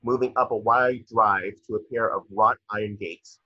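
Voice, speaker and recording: A man's voice: on the low side, steady tone, normal speed, dry recording. A young man reading screenplay stage directions as scripted narration, on dull video-call audio. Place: indoor room at home, on a video call.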